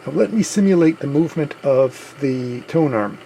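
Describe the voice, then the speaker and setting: A man with a gravelly voice plainly and methodically narrating a hands-on repair as the host of a hobby video. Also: on the high side, expressive, moderate pace, warm tone, a little hiss or hum.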